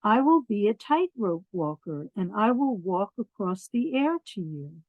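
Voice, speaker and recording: A woman plays a mother bunny from a picture book, steadfast and devoted. She speaks in reassuring storybook phrases at a medium pitch, with a dry sound free of echo. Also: very animated, a little slow, narrow, tinny audio. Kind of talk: children's picture book read-aloud.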